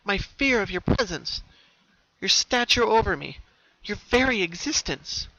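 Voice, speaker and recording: A man voicing a brave, cheerful young female pony with gentle resolve in a dramatic story reading. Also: sits high, animated, measured pace, voice pushed up. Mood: calm, defiant. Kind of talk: dramatic fan-fiction reading. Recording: dull lo-fi recording, background noise.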